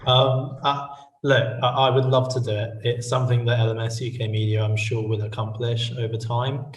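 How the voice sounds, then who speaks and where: A man's voice: mid-range voice, little pitch movement, moderate pace, slight background noise. Businesslike male guest, distant, roomy sound. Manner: earnest, business-minded, many fillers. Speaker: guest, media company co-founder. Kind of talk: casual interview answers. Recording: roomy audio from far off the mic.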